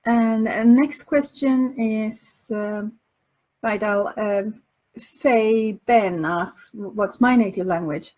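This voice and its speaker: A Finland Swedish woman hosting a parenting podcast, answering listener questions slowly with many fillers and restarts. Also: mid-range voice, darkish tone, rough recording. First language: Finland Swedish dialect. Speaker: podcast host and language coach.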